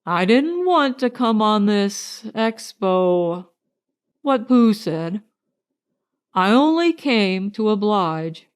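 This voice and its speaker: A woman puts on a mournful old male donkey from a children's storybook. He grumbles very slowly and ponderously, with long-suffering gloom in every phrase. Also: mid-range voice, smooth.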